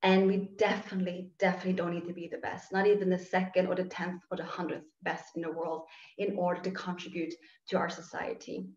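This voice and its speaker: A woman in her thirties from Norway, earnestly telling her personal story on a video call from her living room. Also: lowish voice. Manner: earnest, reflective, gestures while talking. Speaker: guest speaker, sociologist. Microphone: laptop webcam on video call. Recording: dull, roomy video call audio.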